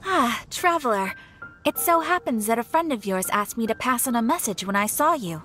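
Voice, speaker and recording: A composed, courteous receptionist from a video game. Her voice is fairly high and her delivery formal. There is faint music behind her. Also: lively intonation, moderate pace, bright, forceful tone. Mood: polite, composed. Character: polite, composed female guild receptionist passing on messages.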